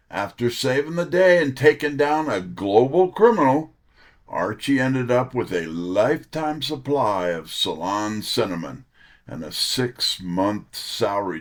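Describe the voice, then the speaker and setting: Author guy in an interview, talks slow but really animated, kinda polished and scripted. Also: mid-range voice.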